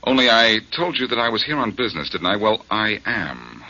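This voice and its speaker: A deep-voiced, mocking private detective from a 1940s radio play. His husky voice swoops widely and carries a bright, cutting edge. The old broadcast sounds muffled and dull. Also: big pitch swings, heavily acted. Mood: cool. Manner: dry, mocking, unhurried.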